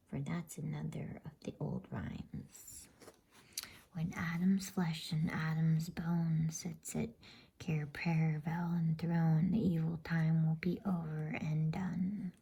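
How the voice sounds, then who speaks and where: Storybook beaver, male, earnest and folksy, played by a woman: breathy whisper, creaky edge, measured delivery. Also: sits low, mellow, heavily acted. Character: kindly, emphatic male talking beaver, reverent and folksy. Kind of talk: whispered bedtime storybook reading.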